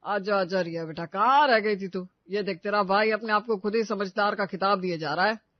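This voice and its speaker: A man playing a caring, gently teasing middle-aged father and husband in a Hindi moral story cartoon. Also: high-pitched, moderate pace, no echo, highs cut off, close to an everyday voice.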